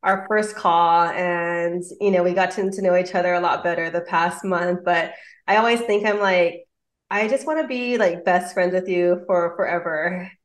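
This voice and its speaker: A woman's voice: lowish voice, steady tone, moderate pace, roomy sound. A woman hosting a podcast and coaching her guest, gushing and affectionate in casual chat.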